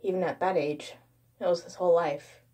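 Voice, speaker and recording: A woman's voice: lowish voice, steady tone, normal speed, mellow. A woman narrating a first-person creepypasta story as its storyteller.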